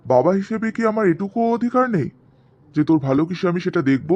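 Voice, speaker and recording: A man's voice: high-pitched, lively intonation, fast, a little mellow, lo-fi sound. A man playing a protective, insistent middle-aged father in a cartoon drama. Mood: stern, hurt. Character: protective, stern father, middle-aged, guilt-tripping his daughter.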